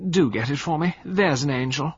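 A man voicing a vain, seductive woman with a high, affected drawl, in a storybook narration. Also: moderate pace, husky, no room sound, lo-fi sound. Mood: coaxing. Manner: affected, theatrical, raised voice.

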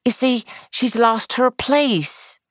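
A woman's voice: fairly high pitch, slow, no echo, voice pushed up. A woman voicing a young, anxious housemaid who rambles on in breathless, deferential gossip. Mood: fretful.

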